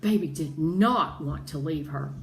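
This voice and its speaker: A woman's voice: low-pitched, measured pace. A woman voicing a tough, blunt street woman from a children's novel, speaking emphatically. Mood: blunt, insistent. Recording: roomy echo with background noise.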